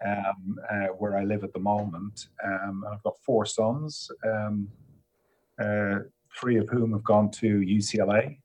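Man in his fifties, lowish voice, relaxed and hesitant interview guest. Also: measured pace, low-quality recording. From Ireland. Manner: easygoing, hesitant, many fillers. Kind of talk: casual interview answers.

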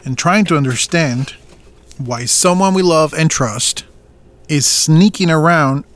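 A man's voice: on the high side, natural voice. A man playing a stern farm owner. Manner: firm, measured, confronting. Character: stern man who co-runs a family farm. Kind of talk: audio drama acting.

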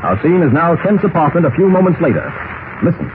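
High-pitched American radio announcer, tinny sound. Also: male voice, big pitch swings, fast. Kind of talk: dramatic 1940s radio scripted narration. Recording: tinny, noisy old radio recording.

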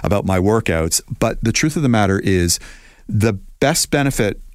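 A man's voice: fairly low pitch, animated, normal speed, no echo, studio quality. A man in his fifties hosting a casual health chat, explaining in a conversational way with fillers and restarts. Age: 50s.

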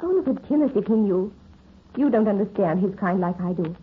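A woman's voice: medium pitch, lively intonation, a little fast, slightly rough. An actress playing a nervous, lovestruck young woman with anxious, pleading delivery, in 1930s radio drama style. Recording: tinny, hissy 1930s radio recording.

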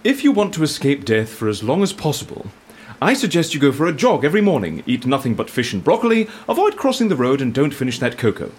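An actor playing a wry, sardonic agent who questions a client, in an audio drama. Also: male voice, a little high, huge pitch swings, quick pace, husky, exaggerated voice. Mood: animated. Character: wry agent serving a death-fearing Venetian client.

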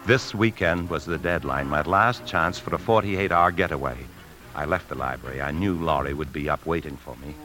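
Deep forceful american guy an obsessed secretive banker, old 50s radio drama, slow brooding delivery. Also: soft background music. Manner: brooding, deliberate, hesitant when lying. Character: scheming married bank man, tense, obsessed, secretive. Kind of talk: 1950s radio drama acting and confessional narration. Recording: 1950s radio broadcast recording.

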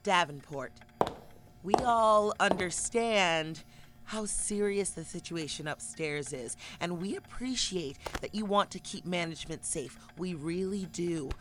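An actress playing a domineering middle manager in an audio drama, talking in menacing corporate-speak. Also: female voice, fairly low pitch, expressive, unhurried, background noise. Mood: placating, corporate.

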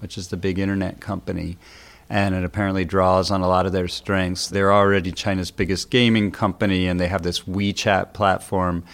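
A man with a low-pitched voice talks through a news story as a guest commentator. He is informal and conversational, phrasing things loosely as he explains. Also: clear, resonant tone. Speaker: senior editor, guest commentator.

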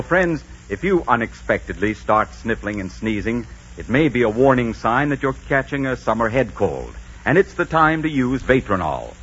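A man's voice: medium pitch, fairly bright tone, slightly rough. A man announcing on 1940s radio with an emphatic, polished sales delivery. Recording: dull 1940s radio recording.

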